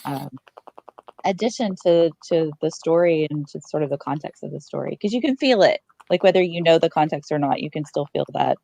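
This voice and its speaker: A woman's voice: deep voice, animated, a little fast, creaky, dark tone, dry recording. A woman hosting a podcast in casual interview chat, talking in an effusive, affirming way. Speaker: podcast host.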